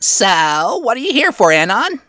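A performer voicing a polite, nervous male imp with a scratchy, high voice and a halting, apologetic way of talking. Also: big pitch swings, sharp tone, no room sound, studio quality. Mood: curious, mild.

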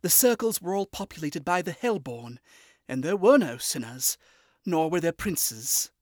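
Casting: man voicing a prissy noble owl demon prince, formal and lecturing, high and sing-song. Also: very animated, measured pace, a little mellow, no echo, studio quality. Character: fussy refined male owl demon prince.

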